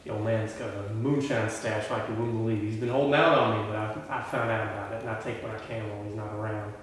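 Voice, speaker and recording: A man's voice: on the low side, steady tone, fast talker, lightly acted. A man playing a creepy, folksy farm host in a horror film table read, ingratiating and ungrammatical. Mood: conspiratorial. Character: creepy, overfamiliar male redneck farm host. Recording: very echoey room, distant mic, faint noise.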